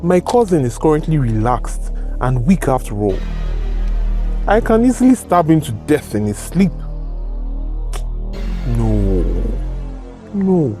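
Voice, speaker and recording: A man's voice: fairly high pitch, very animated, takes it slowly, pauses often, dark tone. Guy doing a bitter scheming nigerian prince, theatrical brooding monologue, drawn out words, faint music behind. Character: scheming, resentful Nigerian prince turned proud king. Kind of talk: animated folktale character acting.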